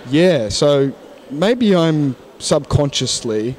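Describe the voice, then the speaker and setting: A man's voice: a little high, animated, takes it slowly, darkish tone. Frank man at a conference. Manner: frank, thoughtful, many restarts. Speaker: interview guest, English teacher. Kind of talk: casual interview. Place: conference hall lounge, indoors. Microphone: handheld mic.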